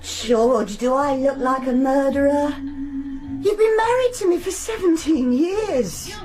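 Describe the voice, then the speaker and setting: A woman's voice: high-pitched, measured pace, over music, low-quality recording. An actress playing a remorseful wife in a radio drama, pleading with her husband. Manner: pleading, emotional. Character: remorseful wife, married seventeen years. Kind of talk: BBC radio drama acting.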